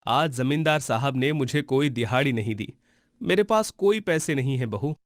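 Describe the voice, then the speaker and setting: A man's voice: medium pitch, lively intonation, normal speed, dry recording, studio quality, lightly acted. A man playing a meek old cartoon labourer. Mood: pleading. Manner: submissive, pleading. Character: meek, poor old male farm labourer, pleading. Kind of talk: Hindi cartoon story voice acting.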